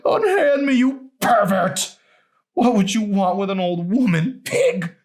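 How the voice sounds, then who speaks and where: A man voicing a deranged, terrified and hostile old grandmother in an audiobook, crying out accusations in a shrill, drawn-out wail. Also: lively intonation. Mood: panicked, outraged. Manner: shrill, wailing, dragged-out cries and accusations.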